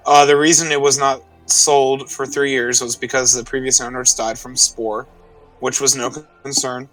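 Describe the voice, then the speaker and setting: A man's voice: mid-range voice, flat delivery, normal speed, a bit bright, distant mic, low-quality recording. A man playing a tabletop roleplaying game, casually recapping the session and checking details with the others as he goes. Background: music.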